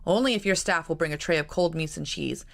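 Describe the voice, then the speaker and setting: A woman voicing a teasing gentleman cousin who makes a show of mocking, with a gravelly voice. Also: sits low, fast talker. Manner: teasing, showy.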